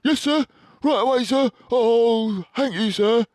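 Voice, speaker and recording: Man putting on a high, eager little badger boy. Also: slow, a little mellow, no room sound, studio quality. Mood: eager, grateful. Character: small, eager, polite young male badger child. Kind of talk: children's storybook character voice.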